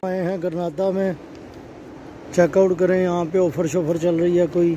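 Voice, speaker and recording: Man in his thirties, high voice, chatty tour-guide vlog, phone mic, noisy background. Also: level tone, moderate pace, warm tone, lo-fi sound. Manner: repeats "check karein ji", chatty tour-guide narration. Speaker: travel vlogger. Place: car in traffic, then large indoor shopping mall. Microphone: handheld phone camera. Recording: background noise.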